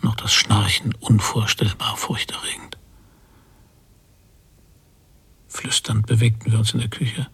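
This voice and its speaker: An actor playing a haunted middle-aged father, recalling his violent childhood in a brooding radio drama monologue with a gravelly, husky voice. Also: fairly low pitch, little pitch movement, moderate pace, a bit bright, exaggerated voice. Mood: tense, bitter.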